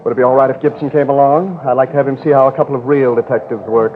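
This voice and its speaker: An actor playing a nervous, sarcastic bank manager in a querulous, flustered manner, in 1950s radio drama style. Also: male voice, medium pitch, steady tone, rapid-fire, slight background noise, lightly acted. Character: fussy, nervous, sarcastic male bank manager, a robbery victim. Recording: old 1950s radio recording, narrow tinny band.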